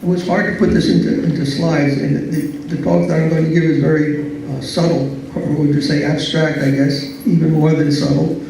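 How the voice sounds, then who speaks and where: A soft-spoken man giving a philosophy lecture in a slow, hesitant way, full of fillers and restarts. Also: a little high, level tone, creaky, slight background noise. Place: large echoey lecture hall. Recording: echoey hall, speaker far from mic.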